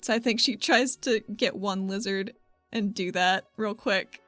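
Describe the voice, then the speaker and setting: A woman's voice: mid-range voice, a little slow, fairly bright tone, dry recording. A woman improvising her character's actions in a tabletop roleplaying game, in an informal, giggly way. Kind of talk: casual improvised roleplay narration. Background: background music.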